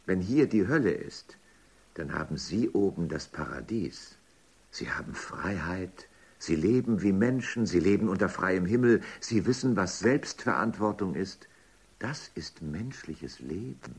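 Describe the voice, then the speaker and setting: An actor playing a polite, ironic underworld official with a husky, musing delivery in a German science fiction radio drama. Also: male voice, sits low, expressive, a little slow, exaggerated voice. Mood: earnest musing.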